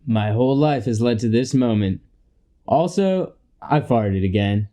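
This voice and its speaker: A young man acting in a comedy radio play as a crude, cocky slob who coaches people in a pompous, deliberate way. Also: mid-range voice, animated, dark tone, natural voice. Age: young adult.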